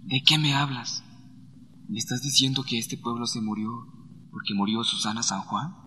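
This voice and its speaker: An actor playing an inquisitive traveler questioning a storyteller in a radio drama. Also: male voice, medium pitch, moderate pace, sharp tone, a little hiss or hum. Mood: curious.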